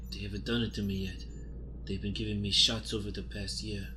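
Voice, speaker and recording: A man's voice: lowish voice, little pitch movement, fairly quick, background noise, natural voice. A man playing a desperate widowed woman who gives urgent, melodramatic, repetitive pleas in an improvised comic radio play. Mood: urgent warning. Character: desperate widowed woman Yvette, frantically warning of experiments.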